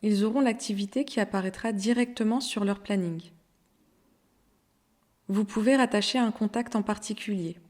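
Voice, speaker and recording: A woman narrating a scripted software tutorial in an instructional, step-by-step way. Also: medium pitch, normal speed, long pauses.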